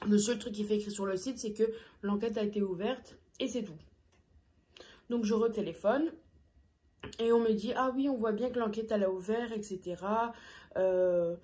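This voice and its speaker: A young woman with a soft, mellow voice talks casually to the camera while she eats. She tells her story with plenty of hesitant fillers and pauses between bites. She is recorded up close in a small room. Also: medium pitch. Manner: chatty, hesitant fillers, eating between sentences. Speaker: food vlogger.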